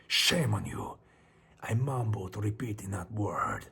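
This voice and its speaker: Man putting on a brooding Spanish narrator, husky and theatrical. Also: lowish voice, animated, measured pace, exaggerated voice. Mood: shaken, self-reproaching. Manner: theatrical, brooding, often dropping to hushed tones. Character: anxious, self-questioning Spanish man narrating his descent into madness. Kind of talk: dramatic horror story narration.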